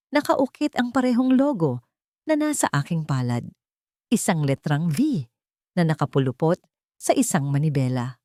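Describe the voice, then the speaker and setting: Low-voiced woman narrating a dramatic story. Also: dark tone, no echo, studio quality. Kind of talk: scripted first-person dramatic story narration.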